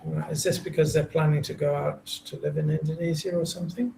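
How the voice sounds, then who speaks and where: A man's voice: on the high side, little pitch movement, a little fast, vocal fry, background noise. A British man teaching English on a video call, patient, filling gaps with okays and stumbling restarts. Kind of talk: casual conversation lesson. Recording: echoey room.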